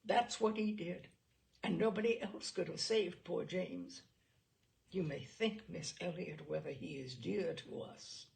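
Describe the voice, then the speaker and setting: A woman reading the part of a grieving, warm-hearted naval captain in an audiobook, telling his story earnestly. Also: fairly low pitch, unhurried, vocal fry. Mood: subdued, moved.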